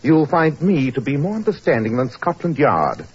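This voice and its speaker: Dull old radio recording, shrewd English detective, swooping intonation, precise, commanding, 1940s drama style. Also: male voice, on the high side, huge pitch swings, normal speed, husky, heavily acted. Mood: probing, composed.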